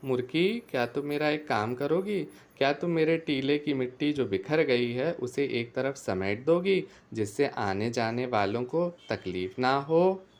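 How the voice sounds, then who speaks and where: An actor voicing a solemn, stern talking tree or mound in a children's folk tale cartoon, slow and calling out. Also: medium pitch, lively intonation. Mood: calling, requesting. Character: talking jujube tree and dirt mound, stern male spirits.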